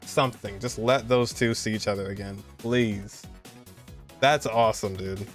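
A man's voice: lowish voice, fairly even intonation, unhurried, soft background music. A man, giving casual, enthusiastic reaction commentary in an informal way. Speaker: reaction video host.

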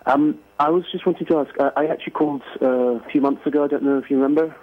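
A man's voice: fairly high pitch, steady tone, rapid-fire. A man from Greece calling in to a talk show, asking a long question with many fillers and restarts. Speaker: caller. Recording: tinny phone line.

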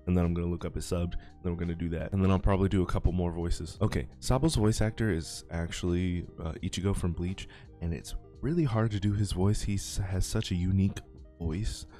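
A man's voice: deep voice, steady tone, a little fast, dark tone, faint music behind. A man, a YouTuber and aspiring voice actor, rambling casually while playing a game. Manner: rambling, chatty, self-deprecating. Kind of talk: casual gameplay commentary.

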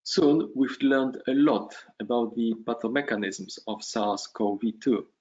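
A man, a physician giving a measured conference lecture in English over a video call, pausing on fillers. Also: mid-range voice, darkish tone. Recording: dull lo-fi video call audio.

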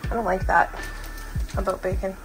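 A woman's voice: mid-range voice, little pitch movement, normal speed, music in the background, rough recording. A woman speaking casually and chattily as she narrates a home cooking vlog in her kitchen. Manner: chatty, informal. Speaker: vlogger and mother.

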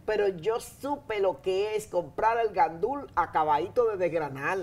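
A woman's voice: medium pitch, unhurried. Dominican lady in her 50s hosting a studio chat show, lapel mic, emphatic and chatty, talks right to the viewers. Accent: Dominican Spanish. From Dominican Republic. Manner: chatty, emphatic, addresses the audience directly. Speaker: talk show host. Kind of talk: casual studio interview. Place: TV studio set, seated in an armchair.

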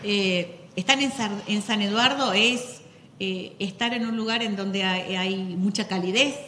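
A woman's voice: mid-range voice, a little slow, pushed and bright, slight background noise. Earnest Argentine woman teacher, studio echo. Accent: Argentine Spanish. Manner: earnest, listing, many fillers. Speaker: teacher of economics, guest. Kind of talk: studio interview answers. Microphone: handheld mic.

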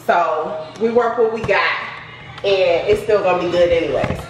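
A woman's voice: lowish voice, moderate pace, recorded from a distance, over music. A young American woman chatting colloquially in a casual vlog, playfully chiding as she talks. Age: young adult. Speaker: vlog co-host and home cook. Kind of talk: casual vlog chat with bantering partner. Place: home kitchen, indoors. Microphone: camera or phone a few feet away. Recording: echoey, noisy kitchen, off the mic.

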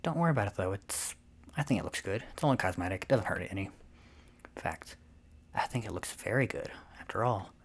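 Vampire mistress , played by a woman: smug, playful, possessive, breathy ASMR delivery, taking her time. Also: rumbling low, expressive, full character voice. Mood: teasing, smug. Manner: self-satisfied, teasing, unhurried, often breathy and half-whispered. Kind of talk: ASMR roleplay.

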